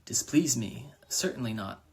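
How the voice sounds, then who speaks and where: Man playing a meek, apologetic, formal crow-man, husky, gravelly. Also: medium pitch, expressive, measured pace, full character voice. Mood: flustered.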